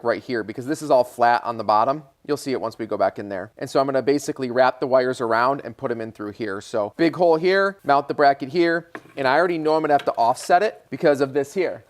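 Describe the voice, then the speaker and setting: A man with an American accent and a medium-pitched voice narrates a do-it-yourself car job. He speaks informally, like someone working with his hands and explaining each step as he thinks it through. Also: no room sound. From Michigan, United States. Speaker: DIY car repair video host. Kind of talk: casual hands-on how-to narration.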